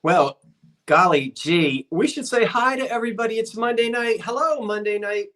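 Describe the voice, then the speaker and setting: Folksy male sale host on a video call, slightly higher voice, easygoing. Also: normal speed, a bit bright, slight background noise. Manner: folksy, homespun exclamations. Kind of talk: casual live-stream chat.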